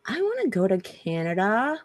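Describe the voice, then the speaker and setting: A woman's voice: medium pitch, huge pitch swings, normal speed, dry recording, clean studio sound. A woman co-hosting a casual livestream chat, easygoing and friendly, answering with short reactions.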